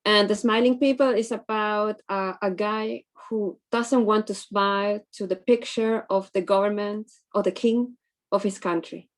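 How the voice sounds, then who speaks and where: Woman giving a halting online talk. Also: medium pitch, steady tone. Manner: informal, halting, restarts her sentences. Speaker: presenter on English teaching.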